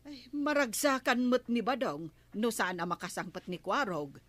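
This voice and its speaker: A woman's voice: a little high, expressive, moderate pace, fairly bright tone, no echo. A woman with an Ilocano accent playing a suspicious, prodding village captain's wife in a radio comedy drama. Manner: questioning.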